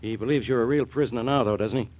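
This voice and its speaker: A man's voice: mid-range voice, racing, natural voice. A man playing an urgent, insistent police inspector in a 1940s radio crime drama. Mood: concerned. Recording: dull vintage radio recording.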